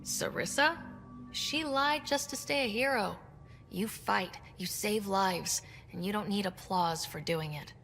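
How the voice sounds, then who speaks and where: An actress playing a steady, supportive, blunt young woman space explorer in a video game, with a husky voice. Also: medium pitch, a little slow, in a large hall, faint music behind. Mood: reassuring. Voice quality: husky, creaky. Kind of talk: video game cutscene acting.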